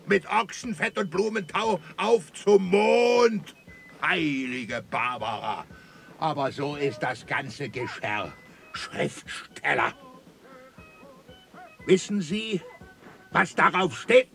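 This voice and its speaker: A man's voice: high-pitched, slow pace, gravelly, soft background music, heavily acted. An actor in a radio drama playing a sneering, authoritarian colonial military commandant who barks and mocks as he interrogates. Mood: scornful, irate. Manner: barking, mocking, interrogating.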